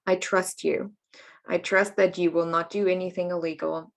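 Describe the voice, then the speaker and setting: A woman's voice: on the low side, little pitch movement, normal speed, creaky. A woman in her late thirties from Germany, telling her life story openly and chattily in an interview. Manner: open, chatty, laughs often while telling her story. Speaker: guest, coach and healer.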